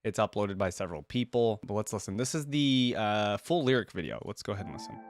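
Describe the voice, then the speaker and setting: A man's voice: fairly low pitch, animated, fast, no room sound, clean studio sound. A young man hosting a reaction video, commenting casually in a laid-back, musing way.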